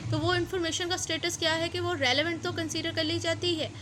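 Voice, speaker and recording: A woman's voice: sits high, pushed and bright, slight background noise. A woman with a Pakistani accent giving an explanatory law lecture, repeating and rephrasing points in a didactic way. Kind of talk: explanatory lecture mixing Urdu with English legal terms.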